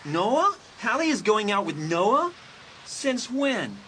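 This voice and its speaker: Sheepish teenage boy, very lively pitch, unhurried, audiobook drama, faint ambience behind. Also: a little hiss or hum, full character voice. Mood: surprised, sheepish. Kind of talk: dramatised horror audiobook acting.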